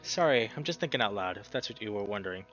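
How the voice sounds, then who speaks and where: A man reads as a troubled young male character in a visual novel, brooding and resentful with a sardonic edge. The audio is slightly dull, over quiet music. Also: on the low side, lively intonation, quick pace. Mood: guarded.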